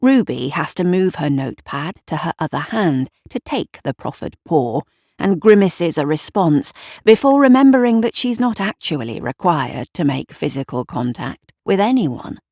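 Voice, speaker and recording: A woman narrating a scripted audio drama in a measured, deliberate, atmospheric storytelling manner. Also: sits low, big pitch swings, darkish tone, no echo, clean studio sound. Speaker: writer and narrator.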